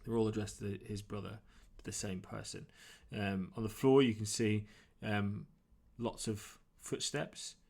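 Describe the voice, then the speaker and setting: A man narrating a tabletop role-playing game as game master, describing things in a halting, improvised, conversational way. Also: lowish voice, fairly even intonation, vocal fry, darkish tone.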